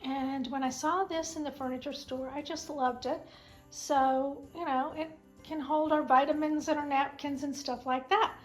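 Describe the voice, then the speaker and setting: Woman, high voice, raspy from a sinus cold, chatty, meandering, faint music behind. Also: steady tone, moderate pace. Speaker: vlog host. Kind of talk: casual home tour chat. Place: home kitchen.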